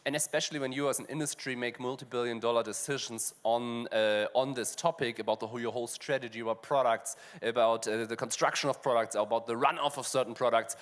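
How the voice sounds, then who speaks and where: A man's voice: medium pitch, steady tone, quick pace, few pauses, fairly bright tone. A man with a German accent, co-hosting a conversation on a conference stage, talking loosely with lots of "you know" fillers. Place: large conference hall stage. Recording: long reverb of a large hall.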